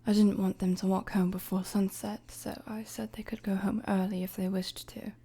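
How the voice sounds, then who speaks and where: A woman acts out a young queen in an intimate audio roleplay. Her voice is mellow and very breathy, often dropping close to a whisper. She speaks with courtly politeness, gentle and a little playful, as if confiding in a trusted servant. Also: medium pitch, level tone, moderate pace. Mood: apologetic, lightly teasing.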